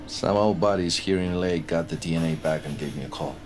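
An actor playing a weary, haunted retired detective in his sixties, talking plainly and haltingly in an audio drama. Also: deep voice, lightly acted. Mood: haunted, grave. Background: music.

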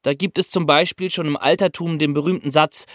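A man's voice: on the high side, fairly even intonation, a little fast, fairly bright tone, no echo, studio quality. A man co-hosting an explanatory podcast, teaching and addressing the listeners directly. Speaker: podcast co-host.

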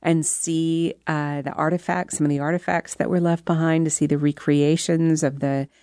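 Female author guest, deep-pitched voice, conversational and articulate, dry close recording. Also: level tone, moderate pace, mellow, no room sound. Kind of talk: conversational interview, explanatory storytelling.

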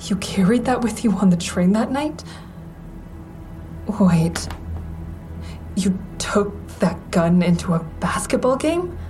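An actress playing a traumatized, guarded woman who narrates and speaks in an audio drama with measured, introspective delivery. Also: mid-range voice, lively intonation, warm tone, soft background music, poor audio. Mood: probing. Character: Haven, traumatized woman survivor narrating, fearful, guarded, hardening. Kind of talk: first-person narration and dialogue in audio drama.

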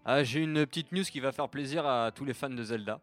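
Man, mid pitch, laid-back co-host, short interjections. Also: brisk, soft background music. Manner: relaxed, short agreeing interjections. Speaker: podcast co-host. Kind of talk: casual gaming news chat.

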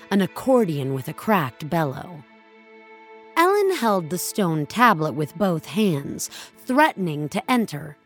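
A woman reading scripted audio fiction as a dramatic narrator. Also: lowish voice.

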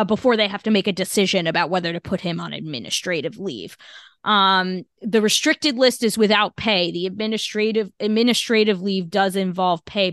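A woman co-hosting a casual podcast, talking in a halting, measured way with many fillers and restarts. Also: mid-range voice, fairly bright tone, no echo, clean studio sound. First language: English. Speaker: podcast co-host.

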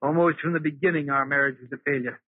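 Male lead an unhappy, lovestruck husband. Earnest, slightly high voice, dry thin vintage radio sound. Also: steady tone, fast, husky, no echo. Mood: regretful. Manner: earnest, pleading, dramatic. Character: lovesick, regretful, unhappily married man recounting his past. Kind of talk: 1940s radio drama acting. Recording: muffled, remastered old radio recording.

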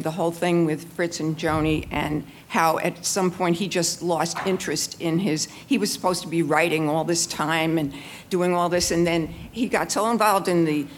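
A woman in the audience of a live event, making a casual comment and asking questions. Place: live book fair event. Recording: low rumble, noisy live event.

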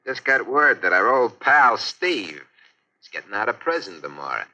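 Sharp, cocky American racketeer. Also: male voice, mid-range voice, sing-song, moderate pace, highs cut off. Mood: smug, scheming. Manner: slangy tough-guy talk. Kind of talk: 1940s radio crime drama acting. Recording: vintage 1940s radio broadcast.

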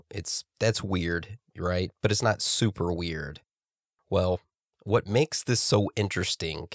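A man hosting a casual podcast, congested from a cold, chatty and quick to laugh. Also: fairly low pitch, vocal fry, no room sound, studio quality. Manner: laughing, chatty, talks over his co-host. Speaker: podcast host.

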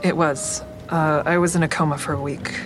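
A woman's voice: low voice, fairly even intonation, moderate pace, over music, natural voice. A woman playing an awkward, smitten survivor who narrates her own story in an audio drama, confiding and self-deprecating. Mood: guarded, confiding.

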